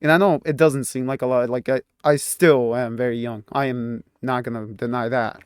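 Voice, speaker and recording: A man's voice: mid-range voice, steady tone, normal speed, clear, resonant tone, no room sound, studio quality. A man of twenty talking casually to his channel, rambling and restarting his sentences and laughing at himself now and then. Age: 20s. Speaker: YouTuber. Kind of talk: casual reflective vlog talk.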